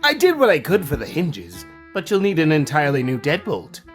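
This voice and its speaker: A man's voice: on the high side, wildly swooping pitch, fairly quick, faint music behind. A man voicing a kindly, befuddled older tinkerer in a cartoon comic dub, with a mild, polite, puzzled way of talking. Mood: earnest. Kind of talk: comic book fan dub cartoon acting.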